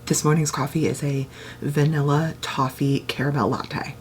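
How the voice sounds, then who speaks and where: A woman's voice: low-pitched, steady tone, normal speed, slight background noise. Chatty tired woman book vlogger. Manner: chatty, lots of "like" fillers; hushed whisper early in the morning. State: tired, voice worn raspy. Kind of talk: casual read-along vlog chat. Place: home.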